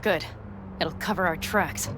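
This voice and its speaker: An actress playing a tough young nomad woman in a cinematic video game, blunt, wry and quick to take charge, with loud ambience behind her. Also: on the low side, heavy background noise, natural voice. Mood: brisk, determined.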